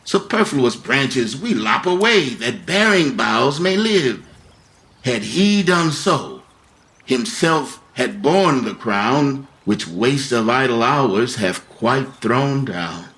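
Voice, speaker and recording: A grave royal gardener in a Shakespearean radio drama. He speaks verse slowly and solemnly in a medium-pitched voice, with a sorrowful, reflective temper. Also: lively intonation, fairly bright tone. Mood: grave, musing. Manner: measured, solemn verse delivery. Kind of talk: Shakespearean radio play acting.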